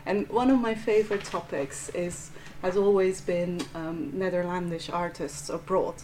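A woman from Flanders with a Flemish accent, a museum curator giving a measured art history lecture with frequent restarts. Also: lowish voice, steady tone, a little mellow, low-quality recording. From Belgium (Flanders). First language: Dutch. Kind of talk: prepared lecture.

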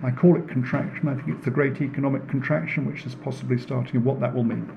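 A man giving a public lecture in an earnest, deliberate way, repeating phrases for emphasis. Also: mid-range voice, fairly even intonation, gravelly, dull audio, slight background noise. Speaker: speaker giving a talk, works in renewable energy. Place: indoor room in front of an audience.

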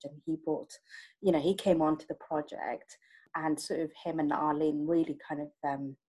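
A woman's voice: low-pitched, darkish tone, breathy edge, poor audio. A woman in casual podcast conversation, talking with many fillers and frequent small laughs. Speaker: project co-lead.

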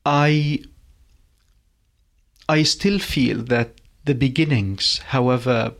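Guy, poet, talks really slow and halting, gravelly, keeps repeating words. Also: mid-range voice. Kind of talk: reflective interview answers.

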